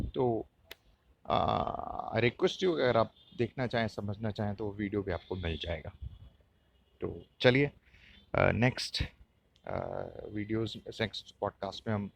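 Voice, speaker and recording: Casting: male podcast host, Indian accent, mid-pitched voice, casual solo commentary with a halting, explanatory delivery. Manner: hesitant, explanatory, repeats points.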